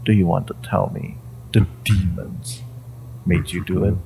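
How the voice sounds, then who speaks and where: A man playing a sinister, inhuman mind-reading interrogator in an audio roleplay, theatrical, mocking and menacing, with a gravelly voice and drawn-out phrasing. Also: deep voice, flat delivery, dark tone, slight background noise, full character voice. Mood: mocking, probing. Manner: theatrical, taunting, drawn-out phrasing with pauses and whispers. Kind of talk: dramatic audio roleplay monologue to the listener.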